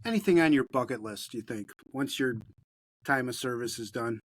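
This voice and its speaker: A man hosting a podcast, asking loosely phrased questions in a relaxed way. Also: medium pitch, fairly quick, dry recording. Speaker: podcast host, interviewer. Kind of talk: casual interview questions.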